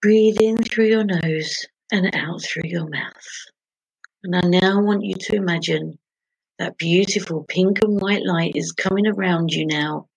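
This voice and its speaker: A woman leading a guided sleep meditation in a soothing, gentle, hushed manner, pausing between short phrases. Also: lowish voice, steady tone, gravelly, husky, no room sound, low-quality recording. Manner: soothing, hushed, gentle instruction with long pauses.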